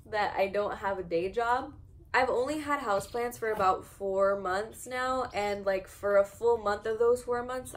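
A woman of twenty-one with an American accent talks casually to the camera in a home plant vlog. Her voice sits at an average pitch, and she rambles in an informal, self-deprecating way. Some noise runs behind her in the room. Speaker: houseplant vlogger. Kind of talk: casual rambling chat while repotting plants. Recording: noticeable background noise, home video.

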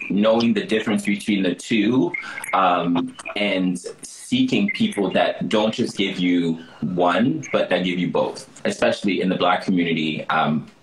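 A man from the Democratic Republic of Congo, a singer-songwriter, speaking in a calm, measured, thoughtful way on a video call. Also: low-pitched, background noise. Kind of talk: casual video-call conversation. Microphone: laptop or phone camera on video call. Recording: echoey room on a video call.

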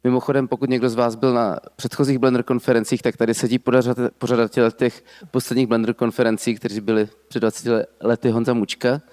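A man in his thirties gives a short welcome into a handheld mic in a large lecture hall, plain and slightly halting. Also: mid-range voice, flat delivery, a little mellow. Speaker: company co-founder. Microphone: handheld microphone.

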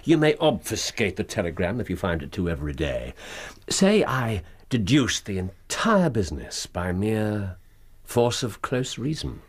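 An actor playing an incisive, superior English gentleman detective with a husky voice and dry, precise manner in a radio drama. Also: fairly low pitch, very animated, unhurried, heavily acted. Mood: sardonic. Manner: precise, superior, dryly sardonic.

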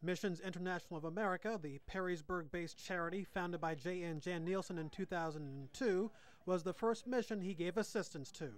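A man reporting and narrating a television news documentary in a measured, scripted way. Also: high-pitched. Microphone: handheld station mic.

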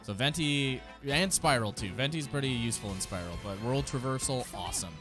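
A man's voice: mid-range voice, brisk. An American man in his thirties giving casual, enthusiastic, slangy reaction commentary close to a microphone. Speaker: streamer reacting to game character trailers. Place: small indoor streaming room. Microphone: close desk microphone. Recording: game music behind the voice. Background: music from game trailers.

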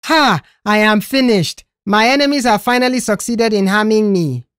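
A woman's voice: mid-range voice, normal speed, dry recording, clean studio sound, voice pushed up, lightly acted. A woman voicing a theatrical, indignant young male troublemaker in an audio story, protesting dramatically. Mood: wailing.

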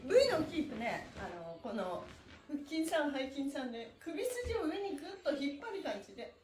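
A middle-aged woman leading a live stretching class in a cheerful, encouraging, animated way. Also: high-pitched, moderate pace. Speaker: stretching instructor. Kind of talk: live-streamed exercise class with counted chants. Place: small indoor room with bare walls. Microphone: camera a few metres away. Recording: echoey room, mic at a distance.

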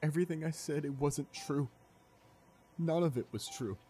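A man playing a tender, remorseful young boyfriend in an ASMR audio roleplay, speaking softly and haltingly with emotion. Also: fairly high pitch, soft background music, close to an everyday voice. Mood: remorseful, pleading. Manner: halting, soft-spoken, emotional delivery with pauses. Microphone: close studio condenser microphone.

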